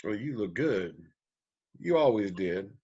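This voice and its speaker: A man in a screenplay table read playing a remorseful absent father and former drunk, speaking haltingly and contritely. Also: on the low side, expressive, dark tone, slightly rough, lo-fi sound, full character voice. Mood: humble, wry.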